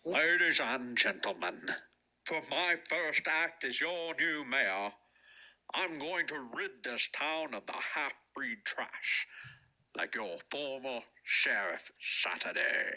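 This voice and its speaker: A man's voice: medium pitch, expressive, slow pace, bright, forceful tone, exaggerated voice, rough recording. A man voicing a scheming villain mayor in an old-time radio western, speechifying pompously to a crowd. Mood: pompous, menacing.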